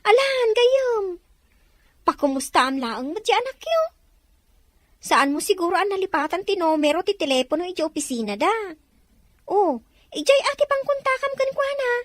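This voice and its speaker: A woman acting a chatty, concerned friend in a melodrama, with a Filipino accent and expressive, exclaiming delivery. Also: extremely high pitch, moderate pace, pauses often, no echo. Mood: curious, concerned.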